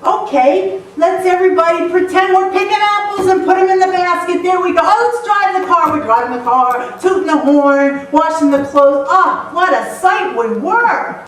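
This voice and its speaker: A woman telling funny stories as a motivational speaker on stage, lively and playful, often laughing. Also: extremely high pitch, normal speed, hardly any pauses. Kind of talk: humorous storytelling talk to a live audience. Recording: echoey hall, mic far off, faint noise.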